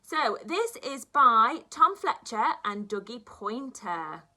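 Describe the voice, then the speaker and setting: Bright-voiced woman reading to young children. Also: on the high side, takes it slowly. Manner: animated, dramatic emphasis for young listeners. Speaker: primary school teacher reading aloud to children. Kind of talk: expressive children's storybook reading.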